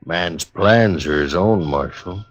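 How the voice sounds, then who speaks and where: Low-voiced, guarded frontier station keeper. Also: male voice, takes it slowly, no room sound. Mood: guarded, dry. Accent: American. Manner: laconic rustic drawl. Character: gaunt, gray, guarded station keeper, quiet and troubled man. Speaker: actor in a radio western. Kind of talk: old-time radio western acting. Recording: dull, dry old radio recording.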